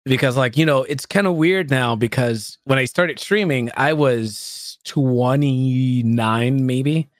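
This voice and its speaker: A man's voice: medium pitch, takes it slowly, hardly any pauses, no echo, clean studio sound. A man in his early thirties, a streamer chatting casually and rambling, often laughing and poking fun at himself. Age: 30s. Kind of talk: casual chat.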